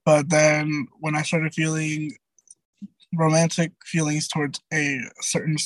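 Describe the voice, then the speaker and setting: A man's voice: completely flat delivery, unhurried, no echo, low-quality recording. A young man around twenty, a guest in a casual interview, talking in a chatty way full of "like" and "um" with many restarts. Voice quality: light high-pitched voice.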